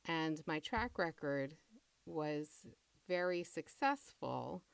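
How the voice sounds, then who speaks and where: Low, clear-voiced woman playwright. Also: animated, slow, dry recording. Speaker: playwright being interviewed. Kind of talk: reflective interview talk.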